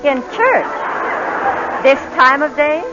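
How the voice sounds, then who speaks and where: Polite businesslike orphanage inspector lady, middle-aged, very expressive pitch, muffled 1940s radio drama sound. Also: slow, over music. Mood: brisk, inquiring. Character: brisk, courteous, firm orphanage inspector woman, middle-aged. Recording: dull, lo-fi 1940s radio recording.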